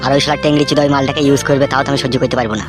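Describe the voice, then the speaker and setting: A man's voice: medium pitch, fairly even intonation, racing, lightly acted. A man playing a crude, scheming village gang boss in a comic cartoon. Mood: smitten, brash. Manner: crude, swaggering slangy talk. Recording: music under the voice.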